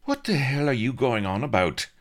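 A man voicing a cynical, wisecracking rogue who talks in a flippant, sarcastic way, his voice pushed high above his own. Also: big pitch swings, moderate pace, natural voice. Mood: exasperated.

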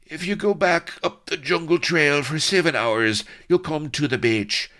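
A man performs an anguished colonel held prisoner in a swashbuckling storybook. The voice is raised and strained, though it rings clear. He is keen to save his own skin. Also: fairly high pitch, measured pace, bright, forceful tone, voice pushed up.

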